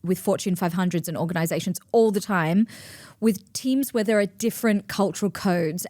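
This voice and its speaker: A woman in her forties, hosting her own show and explaining ideas to listeners in a lively, expressive way. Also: mid-range voice, no room sound, clean studio sound. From grew up between East and West, in Australia. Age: 40s. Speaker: podcast host and speaker. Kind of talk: solo talk to listeners.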